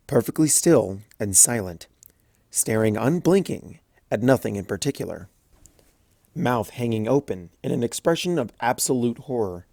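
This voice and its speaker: A narrator reading a comic fan story aloud, with expressive storytelling, recorded at home on a close mic. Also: mid-range voice, dry recording. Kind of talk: audiobook fanfic reading.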